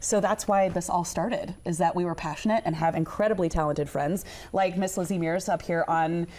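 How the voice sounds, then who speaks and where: A woman's voice: fairly low pitch, steady tone, moderate pace, a little mellow. A woman being interviewed in a TV studio, talking in an enthusiastic, casual and emphatic way, repeating phrases. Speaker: interview guest, theater company co-owner.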